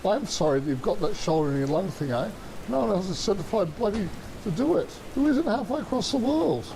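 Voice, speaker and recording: An actor plays a blunt Australian office boss with a creaky, fairly high voice. He is matey and slangy, a bit sweary, in an audio drama with clear background noise. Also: lively intonation, fairly quick, dark tone. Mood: blunt, pressing. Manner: colloquial, mildly sweary. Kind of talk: colloquial audio drama dialogue. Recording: noisy track with rain ambience.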